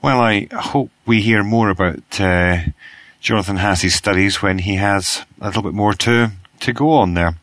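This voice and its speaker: A British man hosting a news podcast, reading the bulletin in a croaky voice from a cold and spelling out web addresses slowly. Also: lowish voice, a bit bright, no echo. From Britain.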